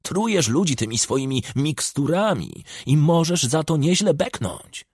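A hot-headed village official played by a male narrator in an audiobook, scornful and defiant. The voice is pushed high and swings widely in pitch, with a husky grain. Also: sing-song, a little slow, darkish tone, no room sound, clean studio sound, exaggerated voice. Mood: angry scorn. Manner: indignant, insulting, defiant. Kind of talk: audiobook character acting.

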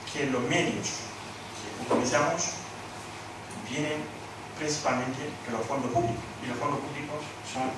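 A man's voice: mid-range voice, background noise. Man from Senegal speaking in an echoey hall. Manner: hesitant, repeats words, lists points. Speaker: NGO speaker at a panel. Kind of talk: conference talk. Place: large hall with audience. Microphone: table mic at a panel, recorded from far off. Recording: echoey hall, far from the camera mic.

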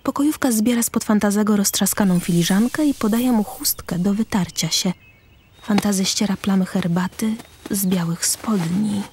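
A woman's voice: medium pitch, normal speed, darkish tone, slight background noise. A woman reading scripted narration for an audio drama, describing the action as narrator. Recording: music under the voice. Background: music.

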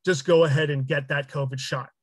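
A man's voice: fairly high pitch, steady tone, brisk, breathy edge, no room sound, studio quality. A middle-aged man giving a candid interview account over a video call from a small room. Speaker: radio traffic reporter being interviewed. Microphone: video call with headset.

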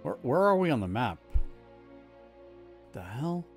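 A man's voice: mid-range voice, sing-song, a little slow. A man doing casual live gameplay commentary, muttering informal reactions to himself as he plays. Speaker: game streamer. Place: indoor room, streaming webcam setup. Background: game music.

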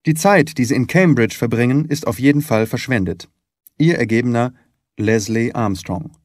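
A man voicing a stern, haughty gentleman doctor in an audiobook, formal, curt and dismissive. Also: mid-range voice, normal speed, no room sound, clean studio sound, lightly acted. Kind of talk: audiobook character reading.

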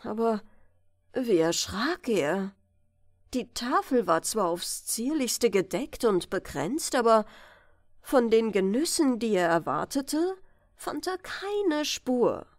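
A woman narrating a fairytale audiobook in an expressive bedtime-story style. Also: fairly high pitch, slow, no room sound, studio quality.

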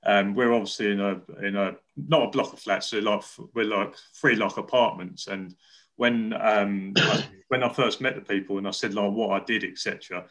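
A man's voice: fairly low pitch, fairly even intonation, normal speed, a bit bright. Chatty young man hosting a video interview. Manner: chatty, rambling, lots of fillers and restarts. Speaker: interview host. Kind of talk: casual video-call interview. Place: living room indoors. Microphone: webcam on video call.

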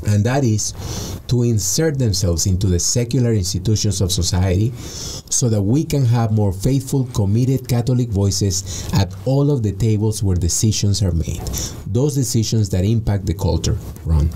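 Earnest man from Peru, mellow voice. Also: lowish voice, moderate pace, slight background noise. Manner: earnest, articulate, frequent restarts. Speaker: guest. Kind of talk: radio interview. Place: small padded studio. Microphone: studio mic with headphones.

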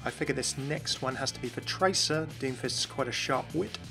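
A man's voice: medium pitch, moderate pace, gravelly, music in the background. A man hosting a game lore video, talking through voice lines in a chatty, enthusiastic voice-over. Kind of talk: scripted commentary voice-over.

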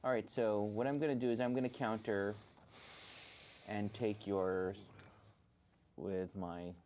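A man's voice: fairly low pitch, steady tone, unhurried, darkish tone, a little hiss or hum. A young man hosting a live studio chess show, chatting casually and mumbling to himself as he thinks over his moves. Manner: musing aloud, mumbling to himself while thinking. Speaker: show host playing chess. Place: dark TV studio.

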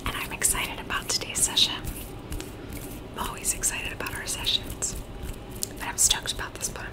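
A woman whispering softly and reassuringly as a Reiki practitioner, in an ASMR session. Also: moderate pace, poor audio. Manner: soft whispering, gentle and reassuring. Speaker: Reiki practitioner and psychic medium. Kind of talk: whispered ASMR energy-healing talk. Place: Virginia, USA.

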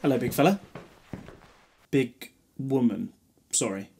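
A man's voice: medium pitch, animated, takes it slowly, loud music behind the voice. A man playing a chatty, eccentric time-travelling alien in an audio drama, whimsical and breezily distracted. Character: eccentric, chatty, newly regenerated male Time Lord.

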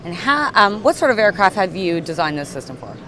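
A woman in her thirties interviewing a guest on a trade show floor with a handheld mic, brisk and chirpy, with plenty of quick agreement. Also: medium pitch, sing-song, a crowd in the background, rough recording. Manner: brisk, chirpy, lots of backchannel. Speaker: interviewer and media director. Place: busy indoor expo hall.

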